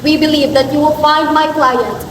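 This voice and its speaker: A woman's voice: sits high, steady tone, normal speed, close to an everyday voice. A woman with a Filipino accent playing a formal defense attorney, giving scripted oratory in a courtroom drama. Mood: formal, insistent. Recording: distant in echoey hall, noisy.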